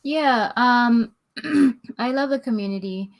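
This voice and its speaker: A woman guest on a video call, speaking hesitantly with many pauses and ums. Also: fairly high pitch, gravelly. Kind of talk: casual chat on a video call.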